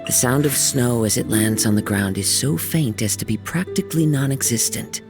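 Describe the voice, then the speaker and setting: A man acts out a young wandering samurai from a video game, serene and poetic. His voice sits at a medium male pitch and is soft-spoken and measured, with a reflective, gentle manner. Music plays behind him. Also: lively intonation, lightly acted. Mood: serene, earnest. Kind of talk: video game character voice lines.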